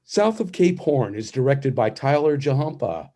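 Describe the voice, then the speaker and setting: A man's voice: medium pitch, big pitch swings, normal speed, over loud music. A man narrating and announcing a radio play from a script, in storytelling style.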